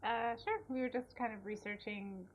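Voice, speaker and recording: A woman from New Jersey, a podcast guest, chatting casually and quietly. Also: a little high, steady tone, normal speed, dull audio, slight background noise. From New Jersey, United States. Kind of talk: casual conversational interview.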